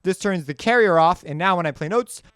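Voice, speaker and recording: A man hosting a casual music software tutorial, talking in an informal, rambling way and often correcting himself as he goes. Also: sits high, brisk, clean tone, no room sound, studio quality.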